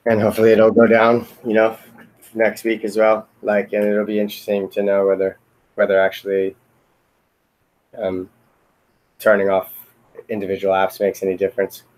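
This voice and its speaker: A man's voice: fairly low pitch, completely flat delivery, normal speed, long pauses, a little mellow, poor audio. A man chatting casually in a remote meeting, talking in a hesitant, rambling, hedging way. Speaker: software team member in a retrospective meeting.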